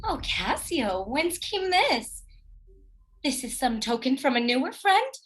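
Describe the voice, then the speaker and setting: A woman's voice: high-pitched, very animated, measured pace, a bit bright, a little hiss or hum, full character voice. A woman playing a jealous, reproachful young woman, a neglected lover, in a Shakespearean radio play.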